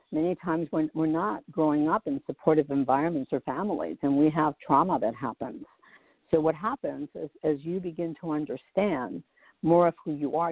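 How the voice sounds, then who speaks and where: A woman who works as an intuitive healer explains her work in an interview, speaking in a measured way and often checking in with the listener. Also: low-pitched, dry recording. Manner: measured, checks in with "okay?" and "does that make sense?". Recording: tinny phone-like line, dry.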